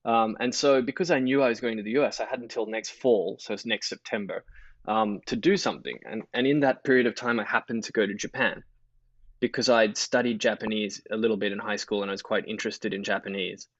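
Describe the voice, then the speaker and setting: Dry, low-voiced Australian man in his thirties. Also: fairly quick, no room sound. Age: 30s. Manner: candid, self-deprecating, laughs easily. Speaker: podcast guest, investor. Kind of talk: casual conversational interview. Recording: dull lo-fi, dry sound.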